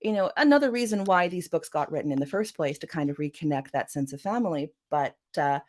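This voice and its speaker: A woman's voice: low-pitched, expressive, fairly quick. A Canadian woman with a Vancouver accent, talking casually as an author guest, with many fillers and frequent laughs. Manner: chatty, laughs often, many "you know" fillers. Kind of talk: casual interview conversation.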